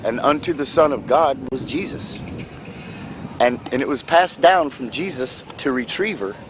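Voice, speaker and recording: A man interviewed on the street, telling a rambling story in biblical phrasing with halting restarts. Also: mid-range voice, noisy background.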